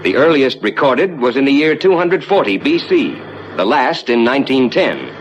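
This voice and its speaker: An actor playing a calm, reassuring rocket ship captain who explains the flight to passengers in measured, courteous 1950s ride narration. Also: male voice, mid-range voice, highs cut off, lightly acted. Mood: composed, instructive. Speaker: spaceship captain and tour narrator. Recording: noisy vintage 1950s ride soundtrack.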